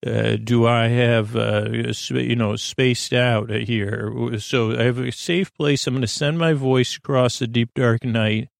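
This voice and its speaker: Male sleep-podcast host, creaky and rough-edged, halting soothing meanders, very dry sound. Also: mid-range voice, steady tone, no room sound. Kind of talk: rambling bedtime podcast monologue.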